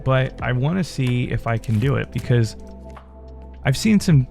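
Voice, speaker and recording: A man hosting his gaming channel, talking in a relaxed, rambling way with fillers, his voice still strained from a head cold. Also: mid-range voice, measured pace, creaky, faint music behind. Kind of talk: casual gameplay commentary.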